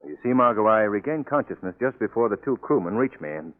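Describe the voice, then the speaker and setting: A man plays a calm, capable gentleman adventurer in an old radio thriller. He speaks rapidly and articulately with wide melodic swings, through a narrow, tinny vintage recording. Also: fairly low pitch, faint music behind, natural voice. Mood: reassuring. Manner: brisk, articulate, urgent. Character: resolute gentleman hero, adult man, calm and reassuring. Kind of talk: 1940s radio drama acting.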